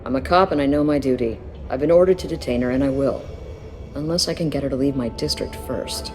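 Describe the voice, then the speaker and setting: An actress playing a dutiful, weary police detective in a video game, speaking plainly. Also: female voice, rumbling low, fast, a little mellow, long reverb tail, soft background music. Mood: firm, wry.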